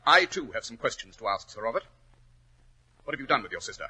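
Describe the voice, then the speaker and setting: A commanding detective in vintage radio drama. He speaks with a forceful edge and slightly rough tone, swinging widely in pitch, formal and dryly ironic on an old 1940s recording. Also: sing-song, fast, full character voice, poor audio. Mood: coolly accusing.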